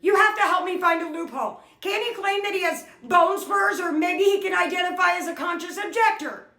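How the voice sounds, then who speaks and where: A woman's voice: very high-pitched, fairly quick, sharp tone, reverberant, exaggerated voice. A woman voicing a whiny, gullible middle-aged mother in denial, wailing and pleading in a comedy skit.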